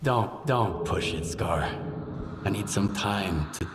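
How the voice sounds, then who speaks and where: A weary, guarded loner from a video game wasteland. His voice is very low and drags slowly, halting and subdued. It echoes in a hall over faint music. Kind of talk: video game cutscene acting.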